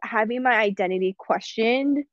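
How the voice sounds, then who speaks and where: A woman's voice: on the high side, moderate pace, no room sound. A young woman chats casually as a podcast guest, laughing her way through personal anecdotes. The sound is close and dry, with a slightly dull, lo-fi edge. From United States. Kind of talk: casual chat.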